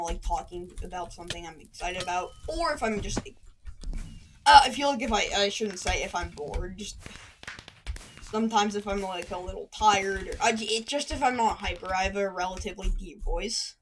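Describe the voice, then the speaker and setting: A woman's voice: medium pitch, normal speed, a little hiss or hum, low-quality recording. Rambling gamer woman over clicking keys. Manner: rambling, chatty, breaks off to react to the game. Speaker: gaming commentator. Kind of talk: casual storytelling over live gameplay. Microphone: desk microphone at a computer. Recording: keyboard and mouse clicks throughout.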